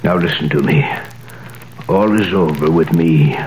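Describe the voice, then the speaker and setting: An actor playing an old imprisoned priest, weary and dying, in 1930s radio drama style. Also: male voice, a bass voice, unhurried, a little mellow, slight background noise. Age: older. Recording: roomy 1930s radio recording.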